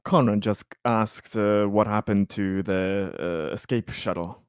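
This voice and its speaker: A man's voice: lowish voice, unhurried, smooth, no echo, clean studio sound. A man playing a tabletop roleplaying game, casually putting questions to the other characters.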